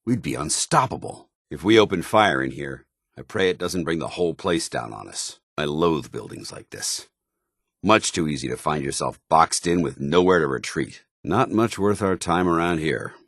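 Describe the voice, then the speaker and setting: An actor playing a stern, zealous soldier companion in a video game, with an American accent and a formal, earnest military delivery. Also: male voice, rumbling low, animated, moderate pace, a bit bright, no echo, studio quality, heavily acted. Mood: matter-of-fact, wary.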